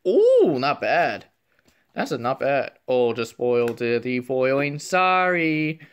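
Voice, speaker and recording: A man quietly narrating a trading card pack opening, half whispering as he reads card names and comments on them, recorded indoors at a table on a phone camera. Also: mid-range voice, sing-song, takes it slowly, smooth. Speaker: card unboxing youtuber.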